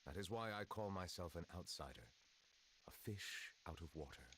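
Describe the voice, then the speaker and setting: A man voicing a formal, solitary dragon judge in human form for a video game, speaking in a measured, solemn way. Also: low-pitched, lively intonation, a bit bright, slight background noise. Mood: solemn, reflective.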